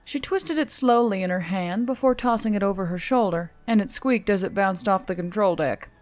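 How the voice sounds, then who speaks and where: A woman's voice: mid-range voice, normal speed, a little mellow. Woman narrating a story over music. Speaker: audiobook narrator.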